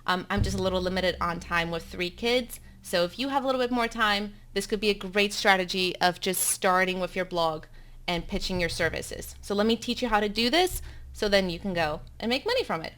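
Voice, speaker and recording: A woman's voice: mid-range voice, animated, fairly quick, a bit bright. A woman in her thirties from South Africa, Afrikaans her first language, with a South African accent, teaching bloggers in a chatty, upbeat live webinar from home, with frequent ums.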